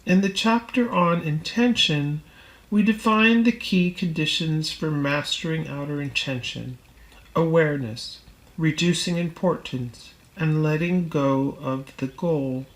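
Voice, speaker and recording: A woman reading a self-help book aloud as scripted narration, in a deliberate, measured manner. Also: low voice, rough recording. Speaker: audiobook reader.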